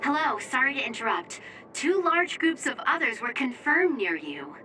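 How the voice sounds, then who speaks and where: An actress playing a friendly, polite young mission operator in a video game, giving courteous, reassuring reports over comms. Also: female voice, high-pitched, big pitch swings, normal speed, pushed and bright, far from the mic, faint music behind, full character voice, low-quality recording. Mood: friendly, helpful. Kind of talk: English video game voice acting.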